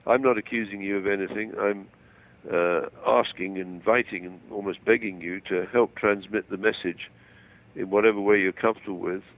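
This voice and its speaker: An older man explaining his views over the phone, self-assured but halting and digressive. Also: lowish voice, fairly even intonation. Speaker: phone-in guest. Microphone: telephone. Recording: tinny narrow-band phone call.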